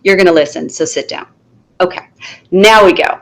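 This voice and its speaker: Firm, lively low-voiced woman teacher. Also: normal speed. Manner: firm, animated, acts out classroom lines. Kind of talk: conversational interview.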